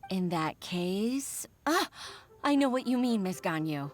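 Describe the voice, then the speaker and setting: A woman's voice: mid-range voice, lively intonation, measured pace, natural voice. A woman voicing an eager little fairy companion. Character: small female fairy companion, eager and chatty. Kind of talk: game voice acting. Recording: game audio under music.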